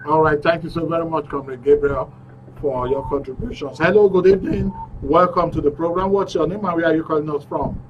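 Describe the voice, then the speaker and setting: A courteous man with a Nigerian accent hosts a live call-in talk show. His voice sits a little high for a man. The sound is dull and lo-fi, as if he is some way from the mic, with faint noise behind. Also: fairly even intonation, brisk. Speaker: radio call-in host. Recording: muffled, distant, low-fidelity live stream.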